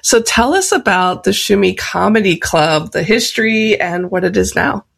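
Young interviewer, super fry-heavy voice, upbeat, laughs a lot, says like constantly. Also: mid-range voice, lively intonation, moderate pace, a bit bright. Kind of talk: casual interview.

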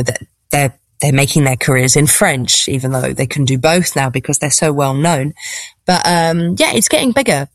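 A woman's voice: sits low, no echo. A middle-aged English woman with a posh British accent, a stand-up comedian talking in a casual interview, often repeating words as she searches for phrasing. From England.

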